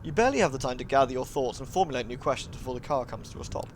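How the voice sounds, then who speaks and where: A man's voice: mid-range voice, lively intonation, racing, a little hiss or hum. A man livestreaming, switching between polite, thankful chat with viewers and reading narration aloud. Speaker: live streamer reading a visual novel aloud.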